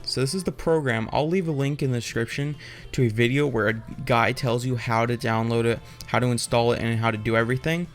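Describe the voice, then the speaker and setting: A man's voice: mid-range voice, steady tone, a little fast, faint music behind. A man hosting a casual, unscripted tutorial, explaining in an informal, rambling and hesitant way. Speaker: tutorial host.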